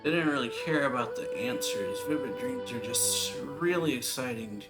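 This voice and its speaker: Game streamer: woman, unusually low voice, casual remarks, lively swings, prominent music bed. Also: moderate pace. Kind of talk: casual gameplay commentary. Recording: loud game music behind the voice.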